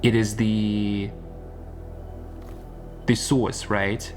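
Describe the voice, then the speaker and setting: A man's voice: on the low side, little pitch movement, drawn-out words, darkish tone, soft background music. A man giving a casual explanatory monologue like an online coach, repeating points for emphasis and often checking for agreement. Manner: emphatic and repetitive, often seeking agreement with tag questions. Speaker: YouTube business and spirituality coach.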